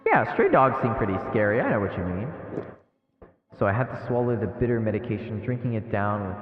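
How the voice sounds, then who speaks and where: A man livestreaming a visual novel, reading its text aloud as expressive, dramatic narration with his own reactions. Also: on the low side, fairly quick. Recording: echoey reverb, muffled, music behind. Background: game music.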